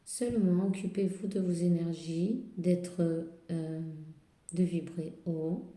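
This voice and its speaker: A woman with a slight cold and a hoarse voice, giving hesitant spiritual advice to viewers full of fillers and restarts. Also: on the low side, steady tone, slow pace, dark tone. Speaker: tarot and spiritual reader. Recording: roomy echo.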